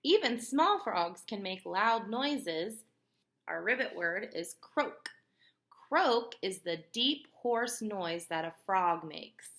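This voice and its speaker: A woman teacher reading aloud to young children in an expressive, instructive way, putting questions to the listener. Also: medium pitch, slow pace.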